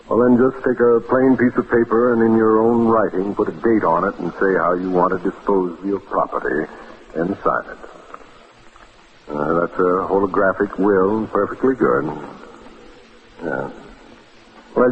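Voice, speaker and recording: An actor playing a sardonic, hungover bachelor lawyer, dry and curt, in a 1940s radio drama. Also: male voice, on the low side, lightly acted. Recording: muffled, noisy old radio recording.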